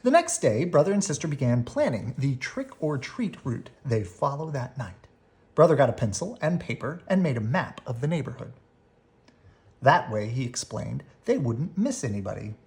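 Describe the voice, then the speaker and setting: Low-voiced woman reading a children's story. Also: very animated, moderate pace, darkish tone. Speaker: storybook reader. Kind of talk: expressive bedtime story narration for children.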